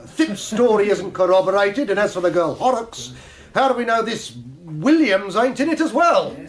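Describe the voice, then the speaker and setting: English police inspector, high voice, working-class, blunt, suspicious, radio drama testimony. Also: male voice, expressive, normal speed. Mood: suspicious, dogged. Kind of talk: radio drama courtroom acting.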